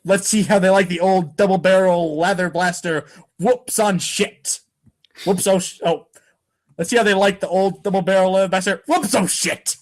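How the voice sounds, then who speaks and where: A man's voice: sits high, steady tone, normal speed. A man giving a playful, theatrical, exclamatory reading of a comic as its narrator. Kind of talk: playful dramatic reading of a webcomic.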